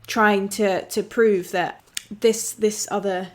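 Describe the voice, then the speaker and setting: A woman host leads a casual panel talk, putting thoughtful questions to her guests. She speaks with some hesitation and restarts. Her pitch is in a middle range. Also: steady tone, slow pace. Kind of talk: interviewing guests.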